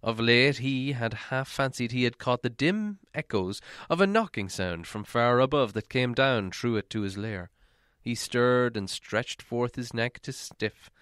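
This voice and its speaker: A man's voice: mid-range voice, lively intonation, unhurried, fairly bright tone, clear, resonant tone, no echo. Dramatic male storybook narrator, dry sound. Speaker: audiobook reader.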